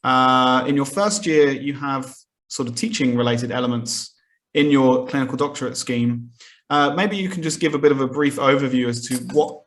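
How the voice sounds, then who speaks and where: A man's voice: medium pitch, a bit bright. Thoughtful male podcast host. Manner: thoughtful, summarizing with many fillers. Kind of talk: conversational interview questions.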